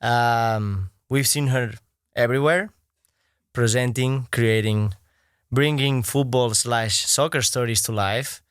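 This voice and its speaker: Man, interview host, easygoing, smooth clear voice, dry sound, mid pitch. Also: slow, no echo. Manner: easygoing, warm intro with many "uh" and "um". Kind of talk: casual interview chat.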